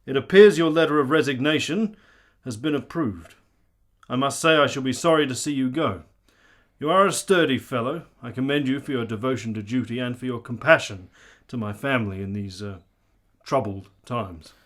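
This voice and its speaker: A man's voice: mid-range voice, moderate pace, close to an everyday voice. A man playing a domineering, hot-tempered nineteenth-century army colonel in a radio drama, pompous and commanding, with formal diction. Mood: pompous, gracious. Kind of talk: period radio drama acting.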